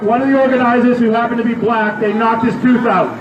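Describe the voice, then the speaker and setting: A man delivering a loud protest speech at an outdoor rally, firing questions at the crowd. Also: extremely high pitch, fairly even intonation, fast, lo-fi sound, background noise. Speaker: rally speaker. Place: outdoor city square. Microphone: public address system heard from a distance. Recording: echoey outdoor PA from far off.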